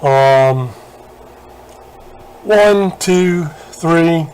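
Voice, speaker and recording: A man giving a casual hands-on repair walkthrough, speaking haltingly with pauses in mid-sentence. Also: a little high, a little mellow, clean tone, low-quality recording. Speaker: vlogger repairing vintage radios.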